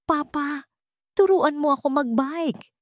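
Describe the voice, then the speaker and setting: A woman voicing an affectionate, excited little girl who pleads eagerly in an audio drama. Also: high-pitched, slow, no room sound, clean studio sound, pitched above the performer's own voice. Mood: excited, pleading. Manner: eager, pleading childlike calls.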